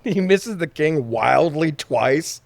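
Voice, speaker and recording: A man's voice: fairly high pitch, animated, takes it slowly, gravelly, no room sound. A man co-hosting a podcast, recapping a film in casual, jokey chat with plenty of swearing. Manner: jokey, irreverent, profane. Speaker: podcast co-host reviewing movies.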